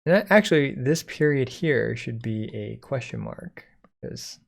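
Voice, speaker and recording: A man giving a casual software code-along, thinking aloud informally as he types. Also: mid-range voice, animated, measured pace, gravelly. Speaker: software tutorial instructor.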